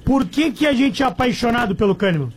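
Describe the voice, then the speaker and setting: A man's voice: very high-pitched, animated, fast talker, slight background noise. A man with a southern Brazilian accent debating football on a radio panel, pressing his points. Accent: southern Brazilian, Rio Grande do Sul. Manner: insistent, repeats "lembra". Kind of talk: casual sports debate.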